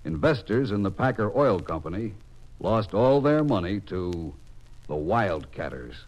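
An actor playing a sober, official narrator of an old-time radio crime drama, speaking formally with an American accent. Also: male voice, low-pitched, very animated, measured pace, very noisy. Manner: formal, authoritative. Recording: dull vintage radio recording.

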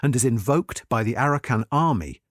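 Expressive male narrator, close and dry. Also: mid-range voice, fairly quick, no echo. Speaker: article narrator. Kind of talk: scripted documentary-style narration of an article.

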